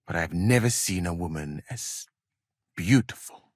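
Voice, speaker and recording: An actor playing a courteous, learned physician from Mali in a medieval video game, speaking in formal, measured phrases with gentle gallantry. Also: male voice, low voice, expressive, dry recording, studio quality. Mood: gentle, admiring.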